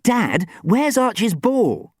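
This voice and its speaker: A man voicing a bossy, excitable young girl in a children's story, breathless and emphatic. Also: very high voice, normal speed, no echo, clean studio sound, voice pushed up, full character voice. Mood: anxious.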